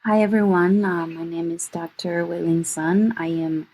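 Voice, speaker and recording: A woman speaks in a very low, husky voice with some gravel in it. She pauses often to search for the right word. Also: steady tone, a little mellow, low-quality recording. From Taiwan. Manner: hesitant, searches for words. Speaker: guest, assistant professor.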